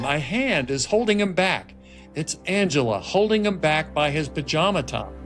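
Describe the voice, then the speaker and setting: A man reading stage directions as the narrator of a film script table read, in dramatic scripted narration. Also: a little high. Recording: music laid clearly behind the voice. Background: music and sound effects.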